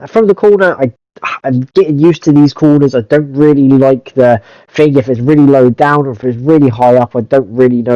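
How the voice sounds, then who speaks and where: A man's voice: mid-range voice, darkish tone, no room sound, dull audio. A man with a cold, chatting through football game commentary for his channel, rambling with lots of hesitant fillers. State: stuffy-nosed from a cold. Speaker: gaming YouTuber. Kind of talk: casual gameplay commentary.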